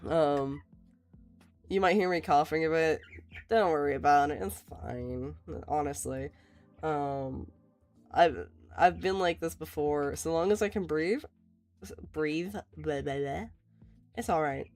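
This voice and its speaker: Woman streamer, hoarse and raspy, gravelly voice, laughs a lot, faint music behind. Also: measured pace, pauses often, a little mellow. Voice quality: raspy, lower than usual. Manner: laughs often, reassuring, rambling. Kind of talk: casual chat while drawing.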